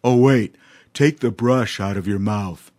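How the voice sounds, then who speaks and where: A gentle, clumsy young elephant speaks slowly and softly, with a sighing manner, as a man puts him on. His voice sits fairly low, and the sound is dry and close. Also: no echo, lightly acted. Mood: curious.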